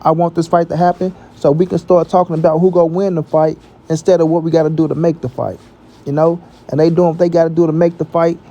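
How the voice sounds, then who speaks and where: American male sports vlogger, higher-pitched and mellow, slangy and emphatic, casual opinionated commentary. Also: little pitch movement, quick pace, highs cut off. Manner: emphatic, repetitive, slangy with frequent check-in tags. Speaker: boxing commentary channel host.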